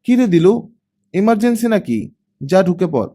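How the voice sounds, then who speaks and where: Audiobook role: breezy brotherly guy, acted by a male reader, blunt and teasing, very lively pitch swings. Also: moderate pace, exaggerated voice. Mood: joking. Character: breezy, teasing man, older brotherly family acquaintance. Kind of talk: audiobook character acting.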